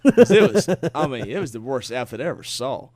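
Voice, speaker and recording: A man's voice: mid-range voice, no room sound. A man from south Georgia with a Southern accent, co-hosting a casual talk show and exclaiming as he teases his co-host. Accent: Southern American. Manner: animated, teasing, quick to exclaim. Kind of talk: casual chat.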